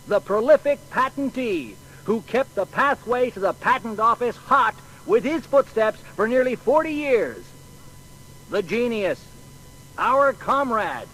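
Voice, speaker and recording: An actor playing a proud banquet orator in a dramatised audio biography, speaking in a declamatory, stately manner. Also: male voice, high-pitched, fairly bright tone, slight background noise, exaggerated voice, poor audio. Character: proud American banquet orator giving a grand toast.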